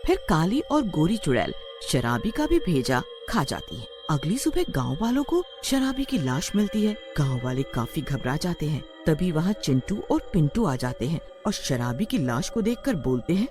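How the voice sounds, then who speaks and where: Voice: medium pitch, sing-song, normal speed, hardly any pauses. A narrator reading a scripted horror story in the manner of a storyteller. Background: background music.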